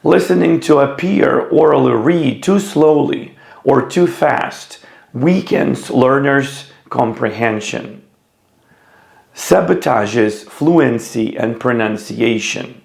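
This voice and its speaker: A man giving scripted educational narration as a teacher, in a measured, deliberate way. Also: mid-range voice, creaky, echoey room. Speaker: teacher presenting an educational video.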